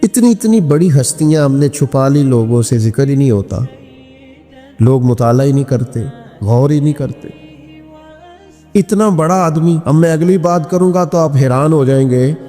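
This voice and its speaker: A man's voice: fairly high pitch, lively intonation, moderate pace, warm tone. A man delivering an impassioned Islamic sermon as a preacher, with emphatic repetition, rhetorical questions and recited verse. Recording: long hall reverb with music behind.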